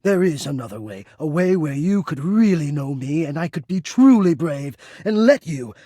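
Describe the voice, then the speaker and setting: A man's voice: fairly high pitch, sing-song, normal speed, warm tone, no room sound, studio quality. An actor voicing a lovesick, anxious male spirit of the air vents in a video game, with intense, theatrical and rather formal delivery. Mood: despairing, self-pitying. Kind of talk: dramatic romantic video game voice acting.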